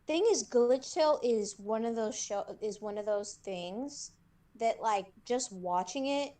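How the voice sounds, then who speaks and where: A woman's voice: a little high, measured pace, warm tone. A woman co-hosting a podcast, chatting casually in an informal, slangy and supportive way. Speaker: podcast co-host. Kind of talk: casual chat.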